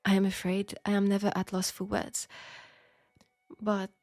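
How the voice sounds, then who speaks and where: A woman's voice: medium pitch, monotone, normal speed, a little mellow, dry recording, lightly acted. A woman with a French accent playing a polite, formal, self-assured seamstress in a steampunk audio roleplay drama. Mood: discreet, formal. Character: proud, outspoken, formal young seamstress in steampunk Paris.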